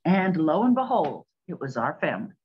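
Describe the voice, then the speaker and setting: A woman's voice: low-pitched, sing-song, fairly quick, breathy edge, full character voice. A woman playing an earnest young woman of a well-off 1920s family in an amateur scripted play. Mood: troubled. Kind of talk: amateur scripted stage acting. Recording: dull, slightly noisy, clicking audio.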